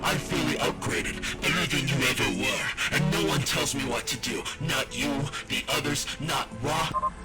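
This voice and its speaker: A man's voice: medium pitch, moderate pace, creaky, natural voice. An actor playing a sneering, boastful adult male droid villain in a fan audio drama, mocking and threatening. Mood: taunting. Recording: loud music underneath.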